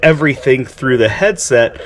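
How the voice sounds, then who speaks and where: Dude doing a casual gear demo on an action cam, mid voice, enthusiastic but kinda hesitant. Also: male voice, mid-range voice, lively intonation, moderate pace. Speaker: vlogger demonstrating vehicle radio gear. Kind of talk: casual product walkthrough. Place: workshop, in and around a side-by-side vehicle. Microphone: handheld GoPro action camera.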